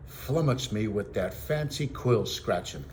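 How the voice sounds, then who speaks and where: A big, angry storybook outlaw as a man acts him out, greedy and bullying. He growls out threats in rough rustic slang, over faint noise on a home recording. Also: fairly low pitch, lively intonation, unhurried, darkish tone. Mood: angry, threatening.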